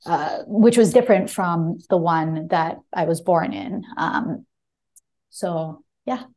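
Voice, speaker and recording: A woman's voice: lowish voice, takes it slowly, darkish tone. A woman talking as a guest author about a memoir, reflective and conversational, with frequent small hedges. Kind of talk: casual book talk and reading from own memoir.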